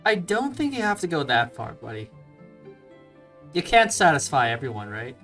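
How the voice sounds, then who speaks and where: A man's voice: fairly high pitch, very animated, moderate pace, pushed and bright, soft background music. A man giving casual, slangy let's play commentary, thinking aloud as he plays.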